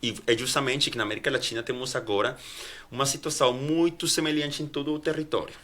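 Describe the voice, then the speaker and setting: A Colombian man whose first language is Spanish, answering as a guest professor with a Colombian accent, halting, with many restarts. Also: mid-range voice, fairly even intonation, a bit bright. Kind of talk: academic interview answers.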